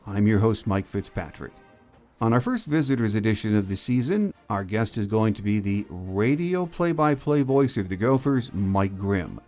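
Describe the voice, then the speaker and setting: A man hosts a sports podcast in a fairly low voice. He presents and interviews, with a touch of music in the background. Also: animated, moderate pace, a little mellow. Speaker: podcast host.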